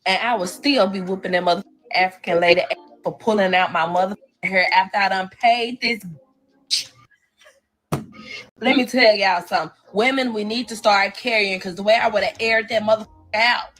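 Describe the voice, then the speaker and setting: A woman's voice: medium pitch, steady tone, brisk, a bit bright. A woman in a casual social-media rant, blunt, profane and confrontational.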